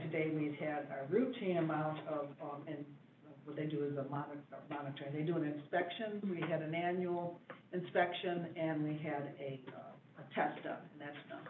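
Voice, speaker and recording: A man giving a building report at a township board meeting, halting with many fillers and restarts. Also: fairly high pitch, slight background noise. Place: meeting room. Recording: echoey room, far mic, phone-like band.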